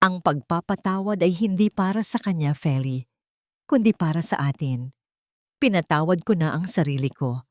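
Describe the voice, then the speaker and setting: A woman with a Filipino accent voicing a reflective, forgiving family man in an audiobook drama, solemn and measured. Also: lowish voice, lively intonation, a little mellow, no room sound, clean studio sound, natural voice.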